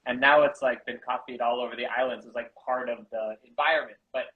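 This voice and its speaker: A man from Canada and the US, co-founder of a bamboo architecture school, talking casually in an interview with halting restarts and fillers. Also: medium pitch, fairly even intonation, narrow, tinny audio.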